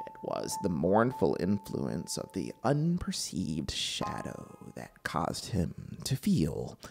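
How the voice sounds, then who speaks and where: A man's voice: low voice, a little mellow, exaggerated voice. A man performing a deranged, gloating murderer who tells his own horror story, theatrical and swinging from whispers to outbursts. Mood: ominous, stealthy. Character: deranged murderous male narrator, gloating and obsessive. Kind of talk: dramatic horror story reading.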